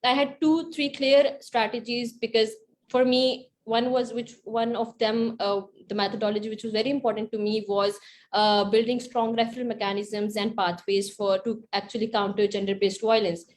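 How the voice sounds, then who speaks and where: A woman's voice: on the high side, fairly even intonation, moderate pace, a little mellow, a little hiss or hum. A woman giving explanatory advice as a panelist, repeating and rephrasing her points. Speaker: panelist, grant recipient.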